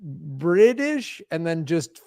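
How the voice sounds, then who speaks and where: A man co-hosting a comedy podcast, joking in an animated way and quick to laugh. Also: fairly high pitch. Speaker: podcast co-host. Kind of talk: casual comedy chat.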